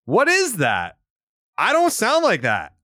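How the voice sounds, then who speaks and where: Man, 30s, podcast co-host, joking, swearing, lively pitch, a bit high, dry close sound. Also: takes it slowly, no echo. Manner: loose, joking, swears, laughs easily. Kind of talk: casual sports chat.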